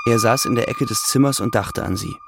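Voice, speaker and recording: A man's voice: medium pitch, fairly quick, loud music behind the voice. A man reading literary narration as the narrator of a drama, with ambient sound behind him. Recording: clear background ambience under voice.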